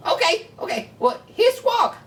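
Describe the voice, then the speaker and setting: A woman hosting a children's Bible show, explaining things simply and chatting along in an animated way. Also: very high voice, unhurried, creaky, distant mic, a little hiss or hum.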